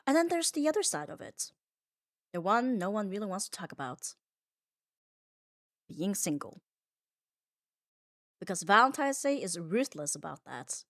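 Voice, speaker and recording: A woman's voice: medium pitch, very animated, unhurried, long pauses, fairly bright tone, no room sound, studio quality. A woman delivering a scripted comfort ASMR monologue in an earnest, reflective manner, leaning on short emphatic phrases. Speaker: ASMR audio performer.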